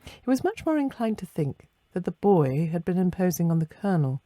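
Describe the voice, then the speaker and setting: A woman reading a ghost story aloud as dramatic, measured literary narration. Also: lowish voice, big pitch swings, warm tone, dry recording, clean studio sound. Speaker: story reader.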